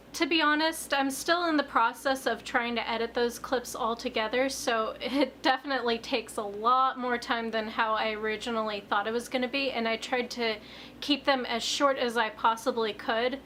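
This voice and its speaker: A woman in her twenties, a little hoarse after being ill, chatting casually to camera in a rambling way with lots of fillers. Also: fairly bright tone, slight background noise. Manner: rambling, filler-heavy, jumps up in pitch on emphasis. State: slightly hoarse after illness. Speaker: vlogger. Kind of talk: casual confessional chat to camera.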